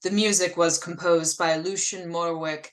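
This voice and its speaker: A woman's voice: fairly low pitch, fairly even intonation, moderate pace. A woman announcer reading scripted credits in the style of 1940s radio.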